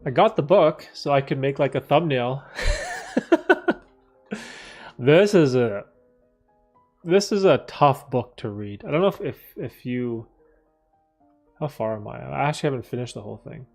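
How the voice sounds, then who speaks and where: A man at a mid pitch talks loosely to his viewers, circling back on himself and padding his thoughts with fillers. He sits in a room with a bookcase behind him, and faint music hums under his voice. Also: unhurried, gravelly, long pauses, a little mellow. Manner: rambling, many fillers and restarts. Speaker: livestream host answering viewer questions. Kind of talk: casual livestream Q&A.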